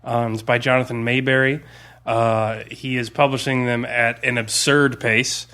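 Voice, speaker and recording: A man's voice: mid-range voice, flat delivery, unhurried, pushed and bright. A man co-hosting a podcast, talking in a casual, conversational way. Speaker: podcast co-host; school teacher. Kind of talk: casual chat, recommending books.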